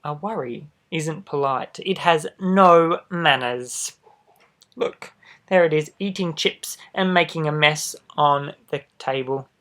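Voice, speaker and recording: A man reading a children's storybook aloud expressively, adding gentle asides for young listeners. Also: fairly high pitch, slow. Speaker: storybook reader. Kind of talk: children's picture book read-aloud.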